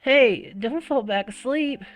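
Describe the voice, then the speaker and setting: Nagging young visual novel sibling voiced by a woman, insistent and bossy, raised pitch, light music. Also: slow. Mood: loud, urgent. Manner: scolding, insistent. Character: impatient, bossy young redheaded sibling of a teenage boy. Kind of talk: visual novel character read-aloud.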